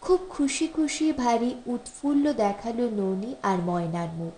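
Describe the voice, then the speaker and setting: A woman's voice: on the high side, measured pace, warm tone. A woman reading a literary story aloud as an audiobook narrator. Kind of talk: expressive literary story narration with acted dialogue. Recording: roomy, echoing voice recording.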